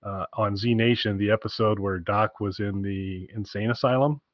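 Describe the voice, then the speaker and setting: A man with a fairly low voice chats as a podcast co-host. He is enthusiastic and wanders through his sentences, often doubling back. The sound is dry and a little muffled, like a recorded online call. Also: steady tone, moderate pace, no echo. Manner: enthusiastic, rambling, repeats himself. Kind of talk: casual podcast chat. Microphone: internet call recording.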